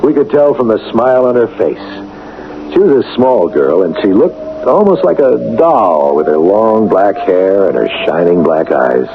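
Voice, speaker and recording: An actor playing a folksy, reflective football coach who narrates a radio drama in a conversational, musing way. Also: male voice, on the low side, very animated, a little slow, noisy background. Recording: tinny vintage radio broadcast with music.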